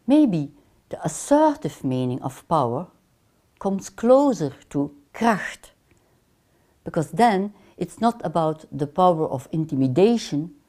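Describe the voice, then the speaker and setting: An older woman with a Dutch accent, a native Dutch speaker, giving a measured, deliberate online course lecture while seated in a small room. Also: lowish voice, very animated, dark tone. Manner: measured, deliberate, gestures with her hands. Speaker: counseling lecturer. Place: small lamp-lit room, seated in armchair.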